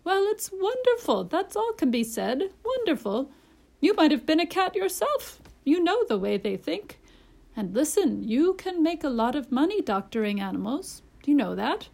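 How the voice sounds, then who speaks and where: A woman's voice: high voice, big pitch swings, moderate pace, creaky, a little mellow, pitched above the performer's own voice, exaggerated voice. A woman voicing an eager, scheming, uneducated working man with a colloquial, persuasive way of talking. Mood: admiring, persuasive.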